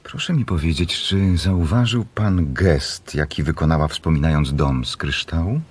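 Very deep, husky doctor. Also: male voice, darkish tone. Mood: probing, intent. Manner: measured, deliberate questioning. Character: calm, probing male doctor, quietly persuasive. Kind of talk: Polish radio play acting.

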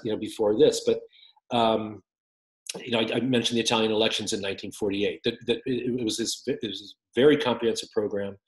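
A middle-aged man talks over a video call, stumbling through restarts and fillers as he answers. His voice sits a little low. The room around him rings with echo. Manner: halting, many restarts and fillers. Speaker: author guest. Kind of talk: casual interview answers. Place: home room with windows. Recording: roomy echoey call audio.

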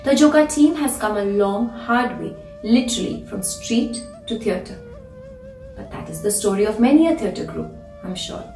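A young woman reads a prepared message aloud. Her voice sits a little high and sounds distant from the microphone, with roomy echo around it. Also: very animated, normal speed, creaky, mellow. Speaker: actor reading a message. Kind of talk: scripted message read aloud. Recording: roomy, distant mic, music behind.

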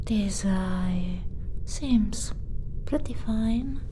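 A woman whispering softly in an ASMR roleplay, soothing and reassuring, with quiet giggles. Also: mid-range voice, extremely slow, slight background noise.

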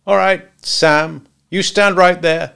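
A man's voice: high-pitched, steady tone, slow pace, gravelly, sharp tone, smooth, pitched above the performer's own voice, exaggerated voice. A man voicing a small, bossy gangster hitman who barks curt, contemptuous orders.

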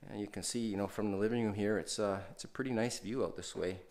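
A man's voice: fairly low pitch, fairly even intonation, fast, a little mellow. A man hosting a renovation video, casually walking through an empty house and talking with many fillers and restarts. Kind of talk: casual walkthrough narration.

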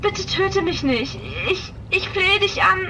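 A woman playing an anxious young lady in an audio drama, expressive and exclamatory. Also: very high voice, slow pace, soft background music, heavily acted, rough recording. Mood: terrified. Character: young wealthy woman, anxious would-be victim. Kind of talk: German audio drama acting.

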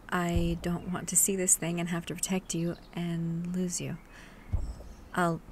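Woman acting as gentle monster hunter, soft-spoken ASMR, slow, hesitant, tender, breathy whispers, faint ambient birdsong behind. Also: lowish voice, close to an everyday voice. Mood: worried, protective. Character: gentle, protective female monster hunter coaxing a small creature. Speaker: voice actor. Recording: steady ambient noise with birdsong.

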